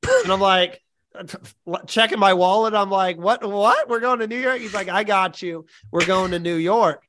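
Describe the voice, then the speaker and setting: A man's voice: sits high, sing-song, moderate pace, noisy background. A man hosting a podcast, chatting casually in an upbeat way and laughing often. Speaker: podcast host. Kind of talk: casual chat.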